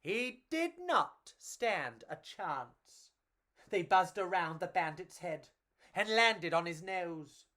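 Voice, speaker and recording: Animated, unhurried woman storyteller. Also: fairly low pitch. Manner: gushing, affectionate, dramatic. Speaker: children's storyteller. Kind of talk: theatrical storytime reading and chat to children.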